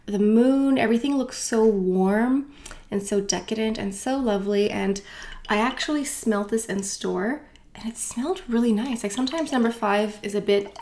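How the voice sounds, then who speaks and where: A woman's voice: on the high side, moderate pace, a little mellow. A woman chatting casually as she unboxes and reviews beauty products, rambling with asides and fillers. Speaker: beauty vlogger.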